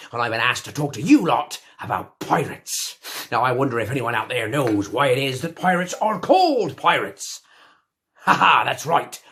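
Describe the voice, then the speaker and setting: An actor playing a boisterous, noble-born pirate captain with a gravelly voice, telling hammy jokes and a dramatic tale in character. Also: male voice, fairly bright tone, full character voice. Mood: jovial, joking. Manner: booming, hammy, corny jokes and dramatic pauses. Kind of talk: theatrical in-character storytelling.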